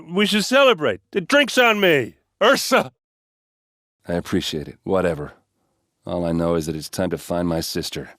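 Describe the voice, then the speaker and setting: Video game role: hard-drinking warrior captain, low-pitched, blunt, soft-hearted under the gruffness. Dry, close recording. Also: male voice, dry recording. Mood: loud, merry, then determined, admiring. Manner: blunt, informal, halting when emotional. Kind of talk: video game character dialogue.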